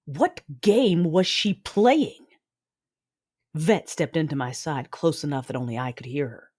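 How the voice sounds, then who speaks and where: A woman's voice: low-pitched, a little slow, a little mellow, breathy edge. A woman playing a brooding, menacing Sith lord, narrating her own story in an audio drama. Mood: uneasy, searching. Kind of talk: first-person audio drama narration and dialogue. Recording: dramatic music underneath.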